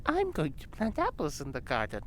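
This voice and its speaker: A woman's voice: low voice, wildly swooping pitch, a little fast, slight background noise. A woman playing an ominous demon old lady who speaks in grandiose, doom-laden pronouncements in an audio drama.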